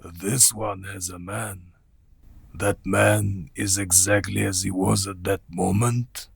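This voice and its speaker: Gravelly, slow-spoken ancient vampire count. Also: male voice, low-pitched, fairly even intonation, dry recording, clean studio sound, exaggerated voice. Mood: brooding curiosity. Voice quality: gravelly, husky. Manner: slow, formal, deliberate. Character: ancient, courtly, menacing male vampire count. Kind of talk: radio play acting.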